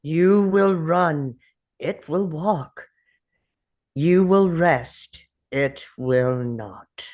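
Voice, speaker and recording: Slow, ominous narrator, read by a man. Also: on the high side, darkish tone. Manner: measured, portentous. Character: ominous, solemn narrator warning of relentless pursuit. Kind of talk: dramatic scripted voice-over at a table read.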